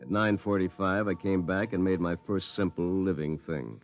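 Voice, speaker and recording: A man's voice: sits low, normal speed, lo-fi sound, over music. An actor narrating as a weary, rueful lawyer telling his own story in a 1950s radio drama.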